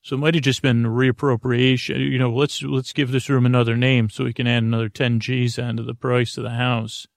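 A man's voice: medium pitch, little pitch movement, a little fast, gravelly, few pauses, dry recording, clean studio sound. A man hosting a sleep podcast, rambling through a meandering bedtime monologue with many restarts and self-interruptions.

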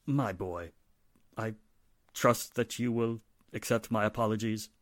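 A man's voice: on the low side, a little slow, no room sound, studio quality, close to an everyday voice. A man playing a stuffy, hypocritical wealthy father, formal and pompous, in a 1940s-style radio drama. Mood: flustered, apologetic.

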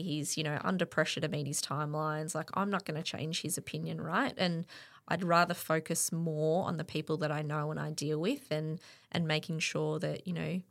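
Gravelly Australian woman, candid guest. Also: deep voice. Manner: candid and reflective, with frequent fillers. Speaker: interview guest, sports event operations director. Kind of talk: casual podcast conversation.

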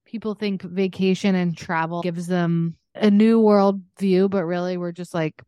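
A woman's voice: fairly low pitch, fairly even intonation, unhurried, warm tone, clear, resonant tone, no echo, dull audio. A woman co-hosting a podcast in casual chat, talking in a chatty, joking, self-deprecating way. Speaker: podcast co-host.

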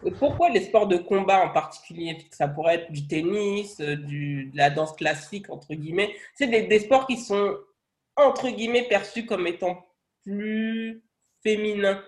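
A man's voice: high-pitched, moderate pace. A man in his thirties hosting a podcast interview over a video call. He chats casually, with many hedges and fillers. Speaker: podcast host.

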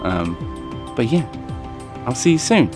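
A man's voice: mid-range voice, sing-song, slow pace, music in the background. A man with a crumpled, papery voice, closing his podcast in a casual, rambling, self-deprecating way. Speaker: podcast host.

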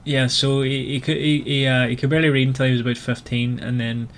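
A man hosting a casual book club chat in a hesitant, halting way, often restarting his sentences. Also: mid-range voice, level tone, a bit bright. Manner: hesitant, halting delivery with repeated false starts. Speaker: podcast host.

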